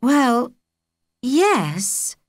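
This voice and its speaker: A woman's voice: fairly high pitch, big pitch swings, very slow, dry recording, clean studio sound, voice pushed up, heavily acted. A British woman voicing an earnest, hesitant young girl in a children's audiobook. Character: young girl, earnest, unsure, a little anxious.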